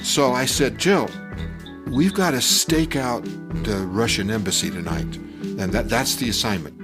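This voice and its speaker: An older man telling a personal story from his youth in a conversational, halting and animated way. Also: mid-range voice. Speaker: storyteller telling his own childhood memory. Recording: music bed under the voice. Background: music.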